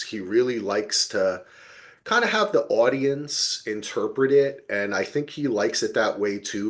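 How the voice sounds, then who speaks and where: Male podcast host, informal and enthusiastic, with very lively, swinging intonation. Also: fairly low pitch. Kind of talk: casual podcast chat.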